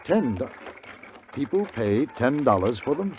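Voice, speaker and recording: A man's voice: mid-range voice, very animated, a little slow, a little mellow, a little hiss or hum. An actor playing a polite, bemused English newspaperman in a 1950s radio Western, formal and reserved with an English accent. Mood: curious, bemused. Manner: formal, reserved, hesitant when puzzled.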